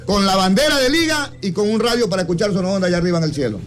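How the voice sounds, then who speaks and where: A man's voice: sits high, expressive, rapid-fire, faint music behind. A man with a coastal Ecuadorian accent hosting a radio show with casual, jokey banter, colloquial and often repeating his phrases. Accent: coastal Ecuadorian Spanish.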